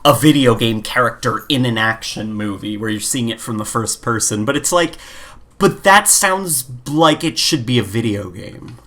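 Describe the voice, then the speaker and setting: A man's voice: medium pitch, animated, moderate pace, sharp tone. A man co-hosting a casual chat podcast, talking in a rambling way and peppering his speech with "like". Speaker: podcast co-host. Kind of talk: casual chat about games and films.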